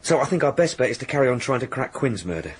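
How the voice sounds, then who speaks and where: Guy doing a dutiful police sergeant, talks fast, polite and earnest, radio drama. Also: mid-range voice, very animated, close to an everyday voice. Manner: earnest, matter-of-fact reporting with polite hesitations. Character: deferential, diligent male police sergeant, junior to his boss.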